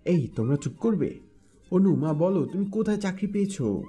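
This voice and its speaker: An actor playing an affectionate middle-aged father in an audio story, gentle but calmly in charge. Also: sits high, normal speed, soft background music. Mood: fond, inquiring.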